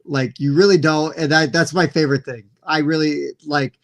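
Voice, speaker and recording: A man hosts a casual podcast, talking with an effusive, joking ease. His voice sits somewhat high for a man, and he tends to gush his appreciation for the guest between quips. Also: fairly even intonation, normal speed. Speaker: show host.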